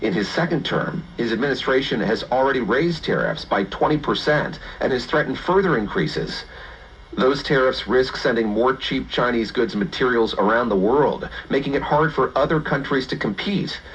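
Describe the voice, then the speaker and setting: A man's voice: medium pitch, lively intonation, normal speed, a bit bright. A man hosting a radio news programme, asking questions and reading narration, heard through an AM receiver. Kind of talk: interview questions and scripted narration. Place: played through a shortwave receiver speaker. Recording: dull AM radio, faint static.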